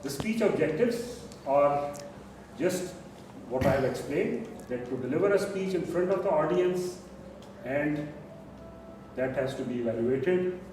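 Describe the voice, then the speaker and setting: A man's voice: fairly high pitch, animated, measured pace, a little mellow. A man chairing a speaking club meeting and explaining the rules step by step. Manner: instructive, step by step. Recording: very echoey room, far mic, noisy.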